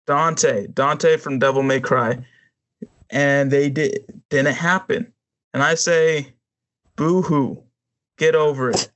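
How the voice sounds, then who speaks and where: A man's voice: fairly high pitch, fairly even intonation, slow pace, long pauses, a bit bright. A man co-hosting a podcast, ranting casually to friends in a blunt, emphatic way. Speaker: podcast co-host. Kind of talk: casual opinion rant among friends.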